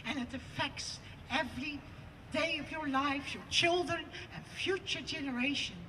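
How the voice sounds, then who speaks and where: A woman's voice: sits high, moderate pace. Dutch-accented woman orating at a rally. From Netherlands. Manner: declamatory, building to loud emphatic peaks. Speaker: protest speaker. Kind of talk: impassioned protest speech. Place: outdoor rally on parliament steps. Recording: distant mic, loud outdoor noise.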